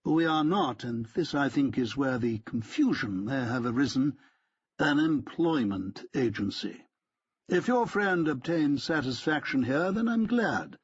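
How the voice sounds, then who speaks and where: A man's voice: medium pitch, animated, a little slow, slightly rough, muffled recording. A man voicing a pompous, stiff middle-aged barrister who talks in a haughty, clipped, precise way.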